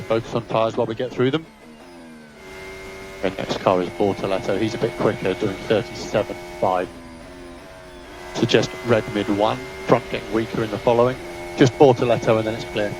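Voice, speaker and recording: A man working as a race engineer, giving terse, businesslike technical instructions and updates over team radio. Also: lowish voice. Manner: clipped, businesslike, technical delivery. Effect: team radio transmission. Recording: noisy team radio, engine and vehicle hum.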